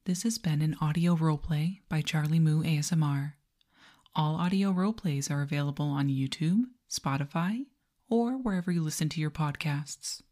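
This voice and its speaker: A woman speaking softly in a hushed, measured ASMR style. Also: deep voice. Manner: soft, breathy, hushed, measured. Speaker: ASMR performer. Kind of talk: ASMR roleplay, slow hypnotic whispering and soft speech. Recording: reverberant echo with long tail.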